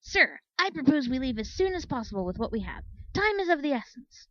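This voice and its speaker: A woman's voice: sits high, big pitch swings, a little fast, fairly bright tone, no room sound, highs cut off, pitched above the performer's own voice. A woman voicing a brash, predatory pegasus soldier mare in a storybook, bold and exaggerated. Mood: eager, scheming.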